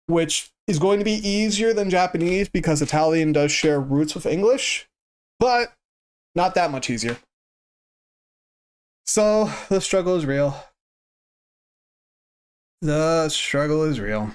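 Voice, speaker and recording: A man streaming a game who chats casually in a flat, stoic way and swears freely. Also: fairly high pitch, measured pace, long pauses, smooth. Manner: monotone and stoic delivery. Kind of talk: casual chat while gaming.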